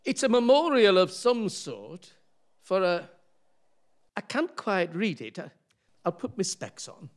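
A man acts out a jovial older husband in a radio play. He is curious and colloquial, brushing worries aside at an unhurried pace. His fairly high voice rises and falls widely. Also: sing-song. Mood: curious, puzzled.

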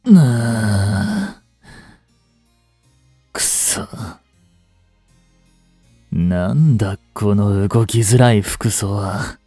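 An actor playing a rough young street punk working as a butler in an audio drama, blunt and teasing, with a grudgingly tender side. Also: male voice, lowish voice, very slow, long pauses, dark tone, no echo, clean studio sound, soft background music, exaggerated voice. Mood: grumbling. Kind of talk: intimate Japanese audio drama acting addressed to the listener.

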